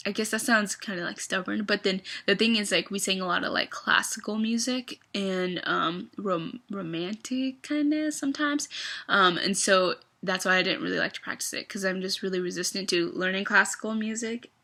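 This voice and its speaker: Bright-voiced young woman rambling on camera. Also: mid-range voice, moderate pace. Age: young adult. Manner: rambling and hesitant, many fillers. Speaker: vlogger. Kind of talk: casual confessional vlog.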